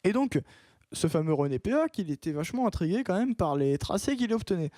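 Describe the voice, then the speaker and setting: A man's voice: sits high, sing-song, a little fast, warm tone, no echo, studio quality. A young man hosting a casual student radio show, rambling with many restarts and fillers. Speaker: radio show host and columnist.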